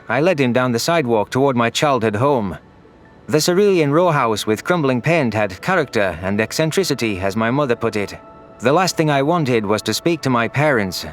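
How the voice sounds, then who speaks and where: A man reading dramatic first-person scripted narration as an audiobook narrator. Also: medium pitch. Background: background music.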